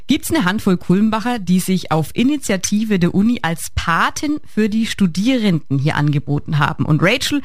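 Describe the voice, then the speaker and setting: A woman's voice: mid-range voice, animated, normal speed, few pauses, dry recording. A woman hosting a radio show from a studio, chatty and colloquial. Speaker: radio host. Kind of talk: lively radio presenting. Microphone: studio microphone.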